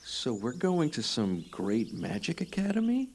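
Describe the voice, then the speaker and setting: Nerdy teen boy, played by a man, earnest, inquisitive, animated. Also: medium pitch, measured pace. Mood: curious. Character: nerdy, curious teenage boy. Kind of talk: audio drama acting.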